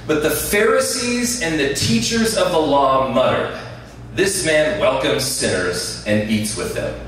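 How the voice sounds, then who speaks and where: A man preaching a sermon to a live audience in a loud, conversational voice, often checking that listeners follow. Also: mid-range voice, big pitch swings, measured pace, a little hiss or hum. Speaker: guest preacher. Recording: very echoey room, distant mic, faint ambience.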